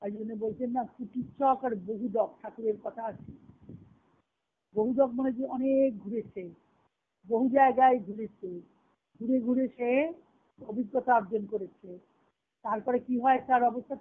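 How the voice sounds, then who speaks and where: A woman giving a devotional discourse as a spiritual teacher, explaining reflectively and repeating key phrases. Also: fairly high pitch. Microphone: phone conference call. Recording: tinny narrowband conference-call phone line.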